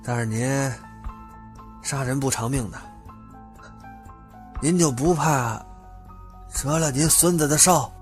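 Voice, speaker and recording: A man plays a bitter small-town villain in a radio drama. He drags his words out slowly at an ordinary male pitch, brazen and sneering. Soft music sits behind him. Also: lightly acted. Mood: bitter, defiant. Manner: sneering, drawn-out, crude. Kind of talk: Chinese audio drama acting.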